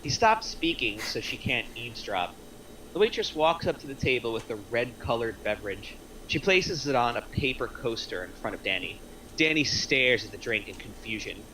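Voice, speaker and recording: A man reads screenplay action lines as narrator. His voice is medium in pitch and bright, on a slightly noisy recording. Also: expressive, moderate pace. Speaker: stage-direction narrator. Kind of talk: scripted narration at table read. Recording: clear background noise.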